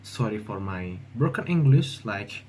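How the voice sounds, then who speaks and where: A young man from Indonesia with an Indonesian accent, speaking English as a second language in a casual, halting monologue full of fillers and restarts. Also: fairly low pitch, very animated, darkish tone, slight background noise. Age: young adult. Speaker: vlogger.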